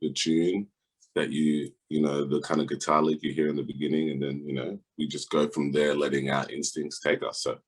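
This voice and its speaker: A young man's voice sits extremely low with a husky edge. He is a band's lead singer, talking easily and loosely in an interview, drifting through his thoughts without hurry. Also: brisk, few pauses. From Austria. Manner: relaxed, laid-back, lots of fillers. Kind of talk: casual interview chat.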